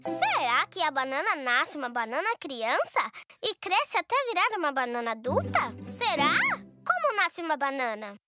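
A woman's voice: sits high, sing-song, normal speed. A woman voicing a curious, bubbly little girl in a children's cartoon, eager and full of questions. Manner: eager, inquisitive, exclaiming. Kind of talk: animated children's cartoon dialogue. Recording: music bed under the voice.

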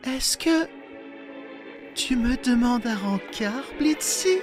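Man acting a refined, slow-drawling smitten demon prince. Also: very high voice, expressive, heavily acted. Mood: flustered, hopeful. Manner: theatrical, polite, flustered. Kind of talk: French fan dub of an animated series. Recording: music behind the voice.

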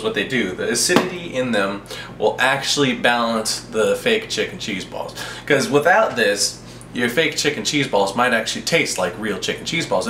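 A young man hosting a mock cooking show in his home kitchen, telling absurd made-up facts about the food in a deadpan way. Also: medium pitch. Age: young adult. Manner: deadpan, absurd tall tales told straight. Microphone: camera mic from a few feet away. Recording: echoey kitchen, distant camera mic, noisy.

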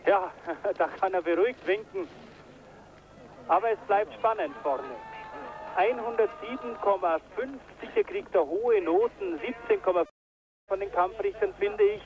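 A man giving live commentary on a ski jumping event, getting excited on big jumps, from an old broadcast tape. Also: high voice, measured pace, slight background noise, low-quality recording. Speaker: sports commentator.

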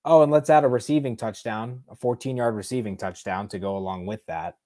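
Young male streamer, twenties, home bedroom, earbud mic; excitable, rambling, sarcastic. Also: fairly low pitch, brisk. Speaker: sports reaction streamer. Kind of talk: live post-game reaction. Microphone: earbud headset mic on a webcam stream.

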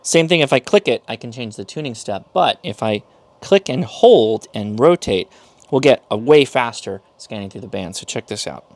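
A man's voice: medium pitch, expressive, normal speed, dry recording. A man in his thirties giving a casual hands-on review as he demonstrates a device. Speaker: host, product reviewer. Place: small indoor workshop room.

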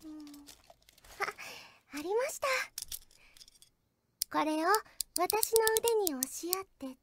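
An actress playing a polite, obsessive teenage little-sister type in an anime drama CD, gentle and chillingly calm in manner. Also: female voice, extremely high pitch, takes it slowly, background noise, full character voice. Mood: polite, cheerful.

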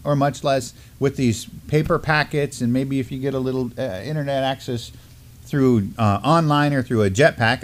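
Male co-host, middle-aged, mid-range voice, informal news discussion, thinks aloud as he goes. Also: mid-range voice. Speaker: co-host of a community news show. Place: indoor studio desk, backdrop.

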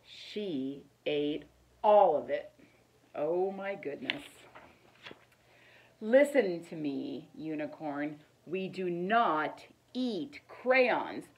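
A middle-aged woman reads a children's picture book aloud in an expressive, deliberate storytelling manner. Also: low-pitched, muffled recording. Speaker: teacher reading aloud. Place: living room, indoors.

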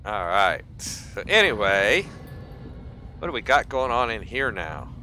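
A man hosts a gaming video in casual commentary. His voice sits low and has a bright, forward edge. There is some background noise from the game. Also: very animated, a little slow. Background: game ambience.

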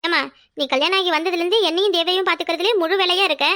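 A woman playing a kindly middle-aged mother-in-law in a domestic drama, gently chiding and coaxing. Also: extremely high pitch, racing, no room sound, clean studio sound. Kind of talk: domestic serial drama acting.

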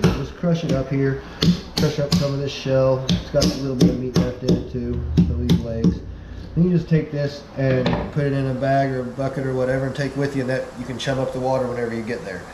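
Man, 30s, casual how-to host, filler-heavy, roomy echo, constant background hum. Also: medium pitch. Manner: easygoing, many "uh" fillers, step-by-step. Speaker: fishing channel host. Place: small indoor bait room, hard walls. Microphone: wide camera several feet away.

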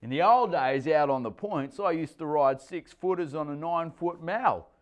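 A man voicing a boastful, cheerful middle-aged surfer stepfather, pitched higher and louder than his own voice. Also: moderate pace, close to an everyday voice. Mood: chirpy.

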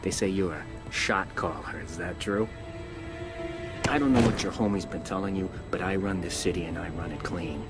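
Man playing a smug, low-voiced crooked cop. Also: moderate pace, loud music behind the voice, natural voice. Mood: taunting, menacing. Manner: taunting, swaggering. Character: smug, menacing crooked male police detective. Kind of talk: video game cutscene acting.

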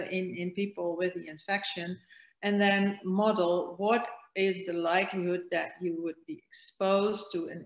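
A woman's voice: fairly low pitch, reverberant, low-quality recording. A woman in her sixties giving a conference lecture on a webcam, hesitant, with many fillers and restarts. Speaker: keynote speaker on virus transmission. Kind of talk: conference lecture over slides. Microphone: webcam on a video call.

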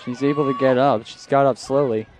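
A man's voice: medium pitch, fairly even intonation, fairly quick, darkish tone, low-quality recording. A young man calls a college soccer match from an outdoor stadium at night. His voice sounds strained, and he lifts his energy on every attack while often correcting himself. A faint crowd murmur sits behind him. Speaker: play-by-play commentator. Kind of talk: live soccer commentary. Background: crowd noise.

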